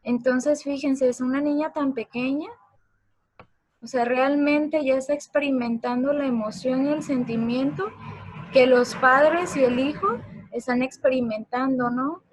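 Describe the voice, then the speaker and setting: A woman's voice: high-pitched, steady tone, unhurried, no room sound, poor audio. A woman presenting an informal online lecture, explaining things and often checking for agreement with short tag questions. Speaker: presenter of a parenting talk.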